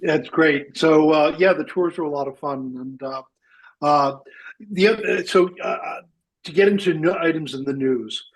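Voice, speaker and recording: Man, radio host, slightly high, husky, halting with fillers. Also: rough recording. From Wales. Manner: hesitant, many fillers and restarts. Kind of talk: live radio science chat.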